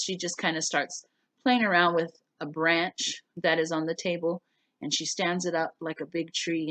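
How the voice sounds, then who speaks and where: Low voiced lady teacher doing a class read aloud, simple explaining, no room sound. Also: a bit bright, dry recording. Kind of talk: casual spoken recap and story read-aloud for students.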